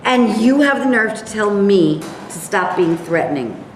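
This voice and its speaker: A woman's voice: mid-range voice, animated, unhurried, natural voice. A woman plays a cynical, quarrelsome businessman, curt and sour, in a staged comic reading. The voice rings in an echoey hall with some background noise. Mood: combative, cynical. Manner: curt, argumentative retorts. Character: sour, combative small businessman, cynical and thrice divorced.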